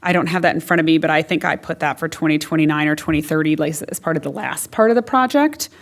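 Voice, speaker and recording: A woman with a lowish voice walks a commission through its options in a council chamber, talking in a chatty way. She leans on "like" and "um" as she explains. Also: quick pace. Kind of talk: public meeting discussion.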